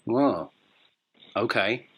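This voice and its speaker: A man's voice: mid-range voice, very slow, breathy edge. A man interviewing a guest, mostly offering short agreeing replies and the occasional remark. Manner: brief agreeing backchannels, laughs along. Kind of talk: casual interview chat.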